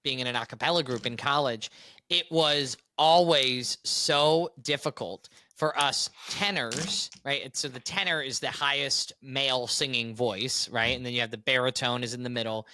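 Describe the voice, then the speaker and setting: Young male co-host, bright and lively, tenor-ish, close dry studio mic. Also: mid-range voice, moderate pace, no room sound. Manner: upbeat, animated storyteller. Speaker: podcast co-host. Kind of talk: casual chat.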